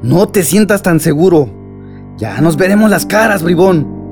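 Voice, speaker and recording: Crime boss, threatening, sneering, very animated pitch, radio drama, guitar backing. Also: male voice, unhurried. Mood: menacing. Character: menacing crime boss threatening his informant accomplice. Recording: guitar music behind the voice. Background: guitar music.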